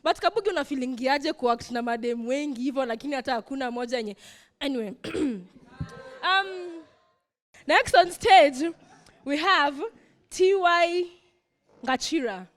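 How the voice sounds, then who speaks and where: A woman's voice: sits high, expressive, unhurried, pauses often, a bit bright. A Kenyan woman hosting a comedy night, playfully roasting guests on stage. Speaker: host. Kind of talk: stand-up compering.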